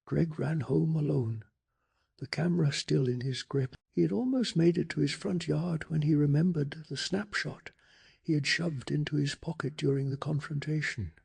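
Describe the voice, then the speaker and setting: A man softly narrating a bedtime story in a calm, hushed ASMR style, telling it with deliberate drama. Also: a little high. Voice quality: soft, breathy.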